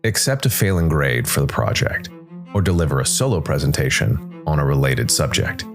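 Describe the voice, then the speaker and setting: Deep guy reading a dark fantasy tale, measured w/ dramatic pauses, faint music behind. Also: expressive, vocal fry. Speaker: narrator. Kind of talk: scripted dark fantasy story narration. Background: background music.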